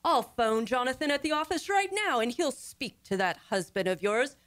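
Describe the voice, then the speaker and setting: A woman's voice: on the high side, sing-song, normal speed, fairly bright tone, dry recording. Lady doing bossy know-it-all society matron, old radio comedy, very swoopy, condescending, dry sound. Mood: lofty, reassuring. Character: grandly self-assured, bossy matron who meddles.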